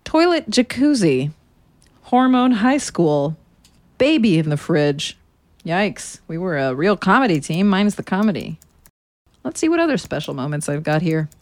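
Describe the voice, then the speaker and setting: A woman's voice: on the low side, sing-song, normal speed, no echo. An American woman in her thirties playing a sardonic woman narrating a confessional audio diary, dryly sarcastic and casually profane. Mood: amused. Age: 30s.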